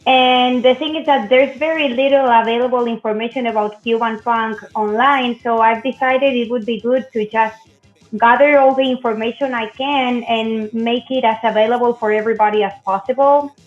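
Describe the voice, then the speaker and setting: An earnest woman explains her research as a guest, pausing often to find her words. Her slightly high voice comes through a muffled, lo-fi video call, with faint music behind. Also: steady tone. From Spain.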